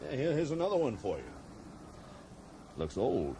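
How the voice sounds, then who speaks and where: Easygoing investigator in an 80s radio play, casual, very up-and-down intonation, noisy recording. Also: male voice, medium pitch, huge pitch swings, normal speed, dark tone. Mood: casual, practical. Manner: casual, matter-of-fact. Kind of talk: 1980s radio drama acting. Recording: noisy 1980s radio broadcast.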